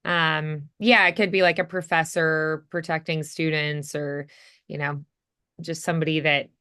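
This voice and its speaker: A woman's voice: deep voice, flat delivery, a little slow, bright, forceful tone, clear, resonant tone. A woman coaching voice acting in a casual one-on-one lesson, thinking aloud and encouraging as she goes.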